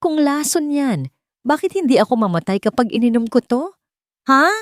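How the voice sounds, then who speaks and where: A woman's voice: sits high, very animated, moderate pace, no echo, clean studio sound, pitched above the performer's own voice. A woman voicing a desperate, defiant accused daughter-in-law in an audio melodrama, agitated and pleading. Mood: defiant, hysterical.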